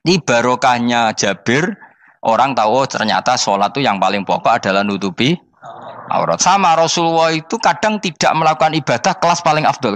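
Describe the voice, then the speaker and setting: A man's voice: mid-range voice, a bit bright, dry recording. A man with a Javanese accent giving an informal religious lecture in a conversational, teasing way, often repeating himself to make a point. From Indonesia. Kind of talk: informal sermon mixing explanation with storytelling.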